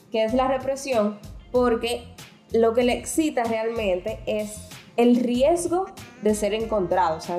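A woman's voice: medium pitch, mellow. Frank woman explaining in an echoey room. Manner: explanatory, frank, many restarts. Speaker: podcast co-host. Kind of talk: casual chat.

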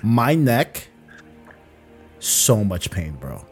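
A man's voice: fairly low pitch, big pitch swings, very slow, slightly rough, faint music behind. A man hosting a casual podcast chat, laid-back and slangy, often trailing off and repeating phrases. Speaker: podcast host.

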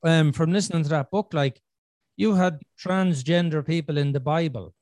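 Guy on a podcast, earnest, thinks out loud, slightly high voice, dry close sound. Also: normal speed, dry recording. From Ireland. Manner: earnest, thinking aloud with restarts and "you know". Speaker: podcast host. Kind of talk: casual conversational podcast chat.

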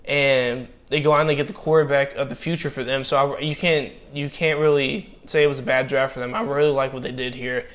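Halting young man losing his voice. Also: medium pitch, fairly even intonation, fairly bright tone, highs cut off. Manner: halting, many fillers and restarts. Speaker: football draft analyst. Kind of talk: casual solo commentary. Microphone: personal microphone.

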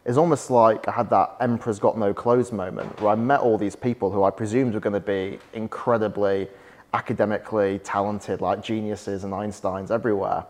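A young man with a softened Yorkshire accent and a lowish voice talks as a podcast guest. His speech is chatty and anecdotal, full of "like" fillers. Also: steady tone, fairly quick. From West Yorkshire, England. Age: young adult. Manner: chatty, storytelling, frequent "like" fillers. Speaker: podcast guest, startup founder. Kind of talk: casual interview chat.

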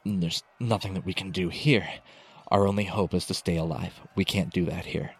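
A man voicing a grave, level-headed scout in a fantasy audiobook, rumbling and thick, speaking in a somber, measured way. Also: fairly even intonation, breathy edge. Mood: grave, regretful.

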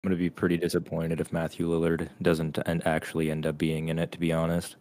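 A man's voice: a bass voice, completely flat delivery, fast, darkish tone, no echo. A young man co-hosting a podcast in casual chat, blunt and rowdy, swearing constantly. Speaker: podcast co-host.

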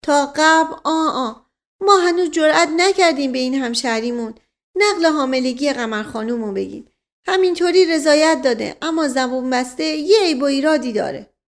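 Woman voicing an anxious, high-pitched old rustic manservant. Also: animated. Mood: sheepish. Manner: folksy, wheedling, repeats pet phrases. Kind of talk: audiobook character acting.

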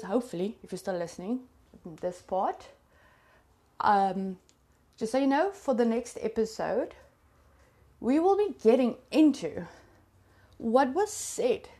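A woman's voice: fairly high pitch, a little mellow. A South African woman whose first language is Afrikaans, telling a true crime story in a chatty, confiding way to her listeners. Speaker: podcast host.

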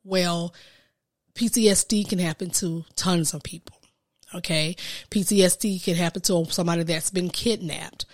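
A woman hosting a casual solo podcast, sounding congested and a bit nasal from a cold, talking slowly and earnestly with frequent ums. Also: fairly low pitch, fairly even intonation, dry recording, clean studio sound. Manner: slow, earnest, with frequent ums and soft asides. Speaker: podcast host and business coach.

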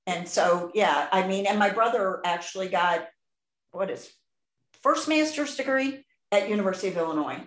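A woman in her sixties with a Chicago accent, hosting a podcast interview in a chatty, animated way. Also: medium pitch, normal speed, echoey room, low-quality recording. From Chicago, USA. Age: 60s. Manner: chatty, animated, laughs often. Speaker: podcast host. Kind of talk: casual interview chat.

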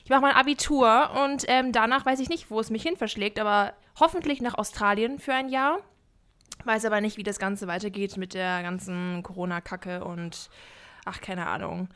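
A woman's voice: mid-range voice, fairly even intonation, normal speed, a bit bright, smooth. A young woman of eighteen from Germany, chatting casually as a podcast co-host, with lots of fillers and giggles. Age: young adult, 18. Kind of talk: casual chat.

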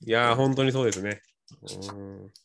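A young man with a fairly low voice and plenty of vocal fry chats as a podcast co-host. He speaks plainly and informally, often agreeing and chiming in as he listens. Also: moderate pace, fairly bright tone, a little hiss or hum. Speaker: podcast co-host, the senior friend. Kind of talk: casual chat between friends.

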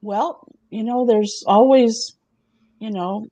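Casting: middle-aged woman host, chatty, laughs easily, mellow warm tone, dry close sound. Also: a little high, steady tone, slow pace, no echo. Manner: chatty, laughs often, asks the others questions. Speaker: host presenting remote job openings. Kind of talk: casual chat show presentation.

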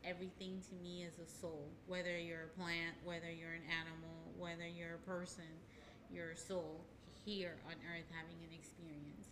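A woman's voice: on the low side, flat delivery, moderate pace. A woman from Haiti speaking earnestly about spiritual topics in a casual radio chat, laughing easily. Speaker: hypnotherapist guest. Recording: background noise.

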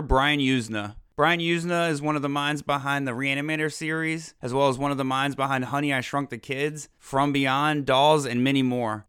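Fast-talking laid-back podcast host. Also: male voice, fairly high pitch, a bit bright, smooth, no echo, clean studio sound. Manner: laid-back, full of fillers. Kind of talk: casual chat.

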